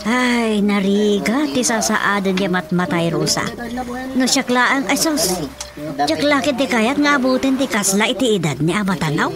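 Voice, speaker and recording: A woman playing a chatty, fretful Filipino village woman in a radio drama comedy, expressive and exclamatory, with a northern Philippine accent. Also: medium pitch, normal speed, lightly acted. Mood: lamenting. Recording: music behind the dialogue.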